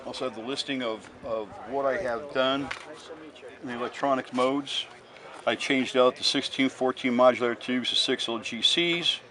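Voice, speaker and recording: A man's voice: medium pitch, normal speed. A man being interviewed as a hobbyist restorer, explaining technical work step by step in a casual, matter-of-fact way outdoors. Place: outdoors at a busy swap meet. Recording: on-location recording amid loud crowd.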